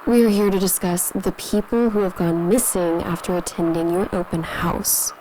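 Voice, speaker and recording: A woman's voice: lowish voice, fairly even intonation, normal speed, mellow, lightly acted. An actress playing a stern female agent in an audio drama, questioning curtly and insistently. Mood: stern, impatient. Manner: curt, insistent. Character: stern, no-nonsense female agent interrogating a suspect. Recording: noisy interrogation recording with ambience.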